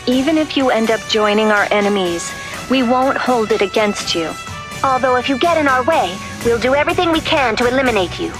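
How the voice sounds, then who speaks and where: An actress playing a caring but firm woman soldier in a video game, earnest, steady and direct. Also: fairly high pitch, close to an everyday voice. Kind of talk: dubbed video game cutscene acting.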